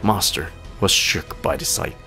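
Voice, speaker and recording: A man narrating a fantasy audio play in a theatrical, solemn storytelling manner with deliberate, dramatic phrasing. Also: bright, forceful tone, soft background music.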